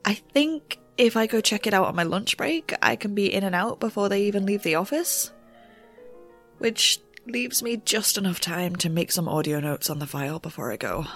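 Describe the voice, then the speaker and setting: An eager young female ghost researcher in an audio drama. Her delivery is animated and swings widely in pitch, with nervy, self-justifying, wry asides. Faint music sits behind her chatty audio notes. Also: moderate pace. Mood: hurried, excited.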